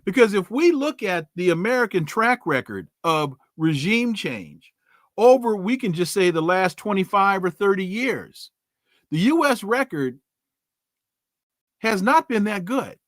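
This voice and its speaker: A man's voice: sits high, sing-song, a little slow, a bit bright, no room sound, studio quality. A man hosting a podcast, giving emphatic commentary with rhetorical questions and folksy sayings before bringing on his guest. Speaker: podcast host. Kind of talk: news commentary and interview opening.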